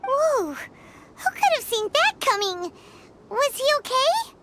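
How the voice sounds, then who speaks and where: A woman voicing a tiny, excitable, childlike fairy companion in a video game, with a squeaky, naive delivery. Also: slow, very noisy, exaggerated voice. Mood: surprised, curious. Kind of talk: scripted video game dialogue.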